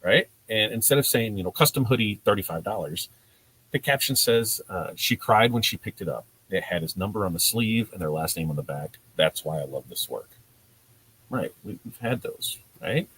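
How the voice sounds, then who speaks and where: A man hosting a casual livestream lesson, speaking informally and haltingly, often checking in with his listeners. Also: fairly low pitch, lively intonation, a bit bright, a little hiss or hum, poor audio. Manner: informal, halting, conversational, often checks in with "you know" and "right". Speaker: host and presenter of a livestream marketing talk for makers. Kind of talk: casual livestream teaching talk to viewers in a chat.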